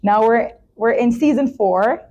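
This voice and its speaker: Mellow-voiced female talk show host. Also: a little high, measured pace, highs cut off. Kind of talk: semi-scripted show presenting and interview.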